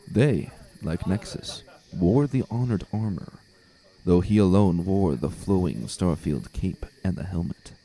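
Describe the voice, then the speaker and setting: A man's voice: low voice, dark tone, low-quality recording. A man giving a dramatic storybook narration as a scripted reader. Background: faint music.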